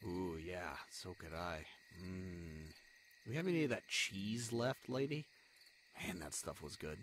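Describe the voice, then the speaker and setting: A man voicing a young spider adventurer and secret lost prince in a fantasy audio roleplay, snarky, anxious and haltingly flustered. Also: deep voice, a bit bright, a little hiss or hum. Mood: easygoing, chatty. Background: crickets, night forest ambience.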